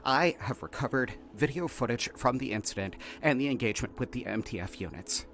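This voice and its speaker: A man playing a shaken, exasperated researcher, haltingly dictating an incident report in an audio drama. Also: medium pitch, lively intonation, fairly bright tone. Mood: formal, uneasy. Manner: halting, flustered, repeating words. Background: faint music.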